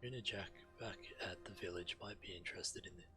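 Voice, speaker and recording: A man's voice: deep voice, brisk, over music. A man reading video game quest text aloud as a streamer, acting out the quest givers' lines as he narrates.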